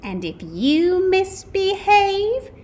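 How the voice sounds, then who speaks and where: A woman voicing a wicked, strict substitute teacher in a storybook, stern and commanding with drawn-out threats. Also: extremely high pitch, sing-song, a little mellow, voice pushed up, exaggerated voice. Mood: stern, threatening.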